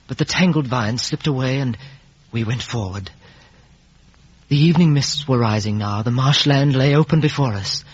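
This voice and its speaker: An actor playing an earnest, remorseful gentleman telling his life story in a reflective, literary way in a 1950s radio drama. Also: medium pitch, husky, close to an everyday voice. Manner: literary, reflective, halting with emotion. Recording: muffled vintage 1950s radio recording.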